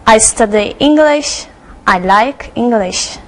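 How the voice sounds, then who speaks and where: High-voiced young woman student. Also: animated. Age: 20s. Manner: slow, careful, simple phrasing. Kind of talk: scripted classroom role-play. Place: TV studio set.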